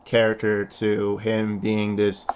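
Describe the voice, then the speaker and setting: A man's voice: on the low side, monotone, slow pace, heavy background noise. A young man with a lisp, co-hosting a casual wrestling prediction chat and rambling with repeated words. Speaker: podcast co-host.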